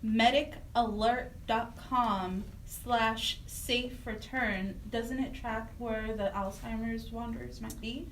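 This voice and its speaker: Higher-voiced woman co-hosting radio. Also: steady tone, slow pace, few pauses. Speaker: radio show co-host. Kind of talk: radio hosting, announcing resources and sign-off. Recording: distant mic, faint noise.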